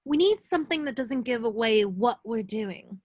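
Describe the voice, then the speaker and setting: A woman's voice: fairly high pitch, expressive, moderate pace, a little mellow, dry recording, clean studio sound. A woman playing an earnest, bossy, bookish teenage schoolgirl in an amateur table read. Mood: brisk, organizing.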